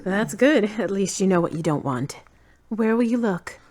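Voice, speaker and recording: A woman's voice: mid-range voice, animated, normal speed, darkish tone, lightly acted. A woman playing a kind, skeptical, teasing friend in an amateur audio drama, candid and wry. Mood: supportive.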